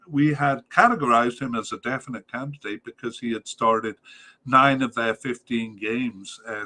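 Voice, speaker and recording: A man going through a squad list on his soccer podcast, halting often with fillers and correcting himself as he goes. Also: medium pitch, bright, forceful tone. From Wales. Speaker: podcast host.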